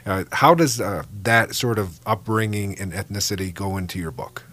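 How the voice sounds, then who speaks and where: A man's voice: fairly low pitch, moderate pace, fairly bright tone. A man hosting a local radio interview show in a studio, chatty and friendly with frequent filler uhs. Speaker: radio talk show host.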